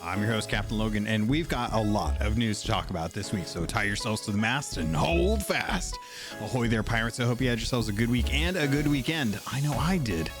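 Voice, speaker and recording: A man hosting a podcast in a casual, rambling way, hoarse from a cold and often correcting himself. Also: fairly low pitch, animated, a little fast, over music. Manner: rambling, self-correcting, thankful. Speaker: podcast host.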